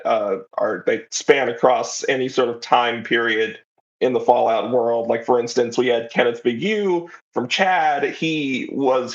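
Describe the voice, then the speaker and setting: A man speaking casually as an interview guest, a writer chatting about his work, on slightly dull, lo-fi audio. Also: medium pitch.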